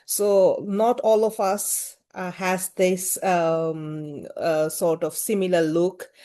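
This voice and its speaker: A woman's voice: on the low side, flat delivery, takes it slowly, dark tone. A woman with a Bangladeshi accent answering interview questions thoughtfully, with frequent fillers and hedges. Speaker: guest, gender-rights activist.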